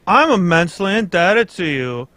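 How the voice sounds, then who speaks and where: A man's voice: high-pitched, very animated, moderate pace, a bit bright, no echo. A man playing a pompous, formal king in an amateur radio drama, speaking with grand emphasis. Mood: relieved, grateful. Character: a pompous, anxious, grateful European king. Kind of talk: amateur old-time radio drama reading.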